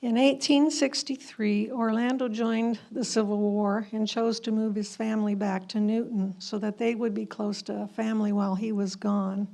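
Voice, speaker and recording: A woman's voice: medium pitch, flat delivery, normal speed, vocal fry, few pauses, dark tone. A woman reading scripted historical narration aloud as a narrator.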